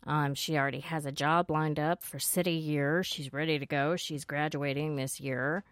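A woman co-hosting a live podcast, chatting casually with dry, deadpan replies. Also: sits low, moderate pace, dry recording. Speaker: podcast co-host.

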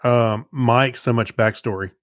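Halting man in casual podcast chat. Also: medium pitch, fairly even intonation, no echo, studio quality. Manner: halting, searching for words. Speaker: podcast co-host. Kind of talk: casual chat about TV shows.

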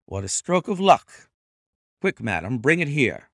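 A brisk, authoritative gentleman detective, voiced by a man. He speaks crisply and deliberately, with a lively pitch and a gravelly texture. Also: no echo, studio quality, heavily acted. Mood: urgent.

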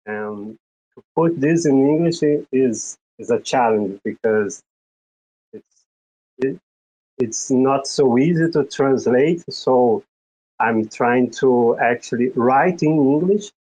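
A man from Brazil whose first language is Portuguese, speaking English with a Brazilian Portuguese accent in a halting way, searching for words and often restarting. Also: medium pitch, warm tone, low-quality recording. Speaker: guest, psychologist and writer. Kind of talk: casual introduction in an audio chat.

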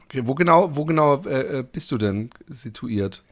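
A man's voice: mid-range voice, expressive, moderate pace, fairly bright tone. Chatty male podcast host, joking. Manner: chatty, joking, many fillers. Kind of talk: casual interview chat.

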